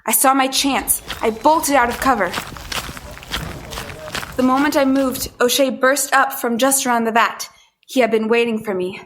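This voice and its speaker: An actress playing a hunted woman who tells her story as tense, deliberate first-person audio drama narration. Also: fairly high pitch, background noise, lightly acted.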